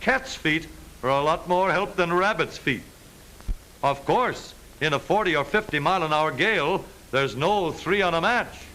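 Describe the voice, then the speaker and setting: A man with an American accent narrates in the style of an old educational newsreel. His voice sits fairly high and bright, with a lively, swinging intonation. The delivery is scripted but jaunty, with a wry, wisecracking edge. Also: moderate pace, slight background noise, low-quality recording.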